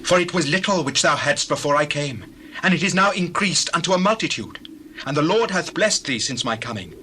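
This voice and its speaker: An actor playing an earnest, righteous shepherd in a dramatized Bible reading, with declamatory delivery. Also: male voice, fairly high pitch, expressive, fairly quick, pushed and bright. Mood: earnest, insistent. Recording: noisy scene with sheep and bird effects.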